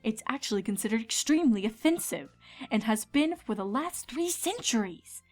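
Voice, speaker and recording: Lady as a regal older pony princess, polite and formal mentor type, highish voice, faint music behind. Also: animated, darkish tone. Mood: disapproving. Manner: measured, formal, diplomatic.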